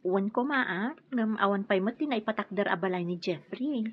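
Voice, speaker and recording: An actress with an Ilocano accent playing an earnest young daughter in love in a radio drama. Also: female voice, medium pitch, animated, normal speed, breathy edge, muffled recording. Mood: earnest, pleading.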